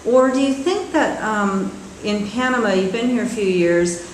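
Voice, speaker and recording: A woman's voice: medium pitch, moderate pace, slight background noise. A woman interviewer asking long questions hesitantly, with many fillers. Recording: echoey room, faint ambience.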